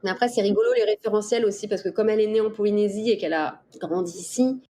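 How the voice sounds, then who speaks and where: A middle-aged woman from the Paris region answering interview questions in a casual, explanatory way with hesitant pauses. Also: medium pitch, dark tone. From Paris region, France. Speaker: interview guest, dolphin researcher. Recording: clear background ambient noise.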